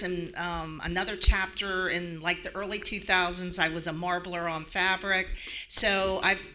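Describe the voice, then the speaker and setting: A woman's voice: lowish voice, bright, forceful tone, a little hiss or hum, rough recording. A woman in her fifties from Washington, D.C., introducing herself on a community panel in a reflective way, with many ums. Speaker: panelist, arts center manager.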